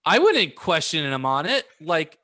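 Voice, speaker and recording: A man's voice: on the high side, very animated, measured pace, pushed and bright. A man co-hosting a podcast, chatting casually and jokily in a self-deprecating way with folksy exclamations. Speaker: podcast co-host. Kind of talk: casual comedic chat.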